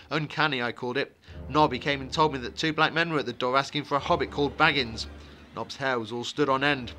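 A man's voice: medium pitch, sharp tone, lightly acted. A man voicing an anxious, forgetful, chatty village innkeeper in a fantasy audiobook, in flustered, rambling rustic talk. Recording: music behind the voice.

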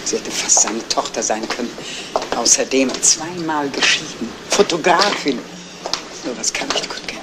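Stern middle-aged sister, clipped formal replies, defensive, retro crime radio drama, clearly noisy recording. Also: female voice, very deep voice, expressive, moderate pace. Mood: bitter, defensive. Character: stern, guarded sister of a dead man, former nurse. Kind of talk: 1970s German crime radio play acting.

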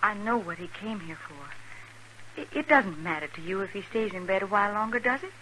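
A woman's voice: mid-range voice, lively intonation, moderate pace. An actress playing an earnest, protective frontier woman in 1950s radio drama, speaking with pleading sincerity. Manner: pleading, earnest, soft-hearted. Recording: noisy vintage radio recording.

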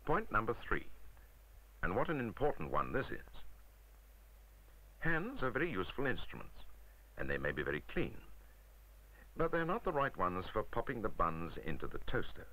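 Deep-voiced British man, lively 1960s film narrator. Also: moderate pace, pauses often, low-quality recording. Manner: wry, rhetorical, emphatic. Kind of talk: 1960s scripted instructional narration. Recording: vintage 1960s film soundtrack.

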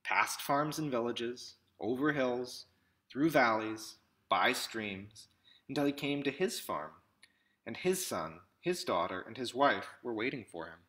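A man in his thirties reads a storybook aloud in measured short phrases with pauses, recorded indoors at arm's length from the mic. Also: mid-range voice, animated, pushed and bright. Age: 30s. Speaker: picture book reader. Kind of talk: read-aloud storybook narration. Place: small indoor room with classroom wall displays.

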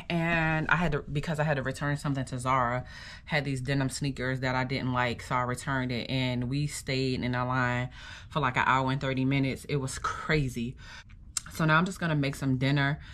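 A young woman from the United States talking casually to her phone camera for a vlog, chatty and upbeat, with lots of "like" and "um" fillers. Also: a bass voice. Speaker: vlogger.

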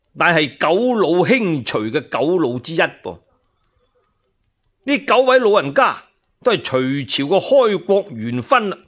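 A man with a Cantonese accent tells old historical tales as a storyteller. His voice sits slightly high for a man and moves at a slow pace, with a dramatic, colloquial delivery. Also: a bit bright. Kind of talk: animated scripted narration of historical tales.

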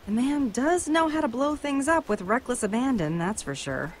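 Dry, animated adventuring woman, played by an actress. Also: a little high, moderate pace, a little hiss or hum, close to an everyday voice. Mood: wry. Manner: dry, sardonic, hesitant asides. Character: self-assured female adventurer, dryly needling, awkwardly probing. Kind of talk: video game dialogue.

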